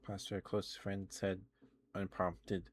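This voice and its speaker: A man hosting an ASMR livestream, chatting casually and playfully with viewers in a halting way. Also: low voice, level tone, a little hiss or hum. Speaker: livestream host.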